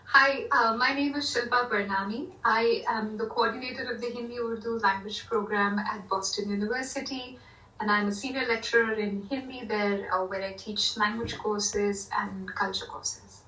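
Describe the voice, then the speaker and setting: Bright-voiced woman from India, echoey. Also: medium pitch, fairly even intonation, normal speed. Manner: thoughtful, many "you know" fillers, occasional laughs. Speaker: guest, university Hindi lecturer. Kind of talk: conversational interview answers. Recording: echoey room, distant from mic.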